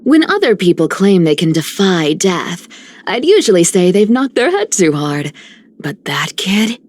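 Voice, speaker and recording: A woman's voice: fairly low pitch, big pitch swings, unhurried, a little mellow. A woman playing a mischievous, cocky young rogue in a video game, breezy and teasing. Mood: playful teasing.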